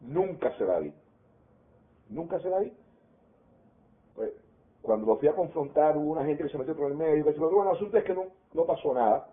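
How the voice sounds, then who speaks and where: An older man with a Cuban Spanish accent, recalling his school days in an oral history interview, animated and trailing off into quieter asides. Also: on the high side, a little fast, long pauses. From Cuba. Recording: tinny phone-like audio.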